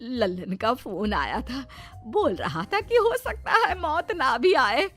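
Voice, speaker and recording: A woman playing a dramatic, demanding old mother-in-law in a cartoon story, with drawn-out, wheedling delivery. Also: high voice, big pitch swings, a bit bright, faint music behind, full character voice. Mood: plaintive. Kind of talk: Hindi cartoon moral story acting.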